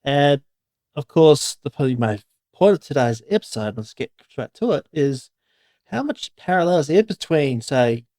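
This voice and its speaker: A man's voice: on the high side, expressive, normal speed, darkish tone, no room sound. A man hosting a podcast who introduces his guest in a chatty, rambling, enthusiastic way. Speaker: podcast host. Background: music.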